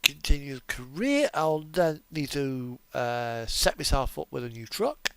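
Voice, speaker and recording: Male game streamer, unhurried and gravelly, hesitant off-the-cuff commentary, murmuring as he thinks aloud. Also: medium pitch, expressive, pushed and bright. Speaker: livestreamer, video game host. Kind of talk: casual unscripted gameplay commentary.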